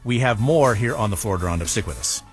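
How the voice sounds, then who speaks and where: A man from Iowa hosting a radio talk show, relaxed and conversational with hesitant fillers. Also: lowish voice, fast, faint music behind. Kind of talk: radio call-in discussion.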